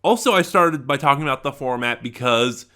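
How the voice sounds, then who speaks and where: Male reviewer, bright forceful tone, lively swinging pitch, informal and rambling, often restarts with emphatic stresses. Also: a little fast. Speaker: audio drama reviewer. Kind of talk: casual rambling review monologue.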